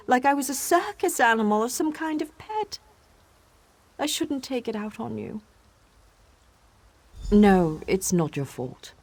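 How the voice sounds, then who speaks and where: A woman playing a troubled, emotional young elf girl with magic in a video game. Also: medium pitch. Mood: hurt, pleading, then weary, resolute. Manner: emotional, swinging from bitter anger to pleading.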